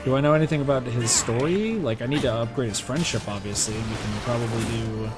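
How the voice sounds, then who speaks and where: A man's voice: medium pitch, brisk. A man streaming a video game, commenting casually and jokingly as he reacts aloud. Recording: game music behind voice. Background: game music.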